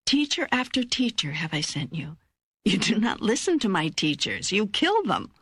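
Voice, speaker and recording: Older lady doing god in an audiobook, calm and wise, husky, deliberate, really close dry sound. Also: medium pitch, dry recording, full character voice. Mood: firm, admonishing. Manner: measured, emphatic, deliberate phrasing. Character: God, a calm, wise, authoritative divine teacher. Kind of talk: audiobook dialogue reading.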